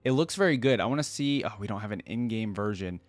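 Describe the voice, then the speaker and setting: A man's voice: fairly low pitch, lively intonation, fast talker, no echo. A man giving casual reaction commentary as host, thinking aloud with some hesitation. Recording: music playing behind the voice.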